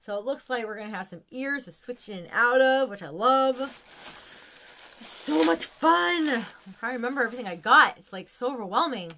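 A woman in her forties with an American accent, chatting casually and excitedly to camera in a room at home. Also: a little high, moderate pace. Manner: excitable, gushing. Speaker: vlogger showing a haul of collectibles. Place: small room at home, indoors.

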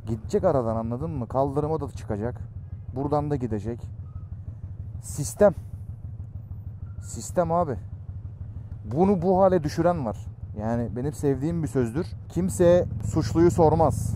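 A man's voice: mid-range voice, warm tone. A middle-aged man talking casually while riding a motorcycle through city traffic, chatty and ranting at other drivers. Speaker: motovlogger. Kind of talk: casual ride commentary. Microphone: helmet or action camera mic. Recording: motorcycle ride with clear road noise. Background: traffic and wind noise.

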